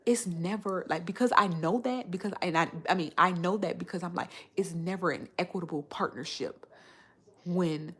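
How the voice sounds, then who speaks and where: A woman's voice: on the low side, moderate pace, husky. An American woman in her thirties chatting casually on a livestream, slangy and emphatic, repeating phrases to make her point. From Chicago, United States. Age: 30s. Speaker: live streamer. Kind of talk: casual livestream chat, animated storytelling.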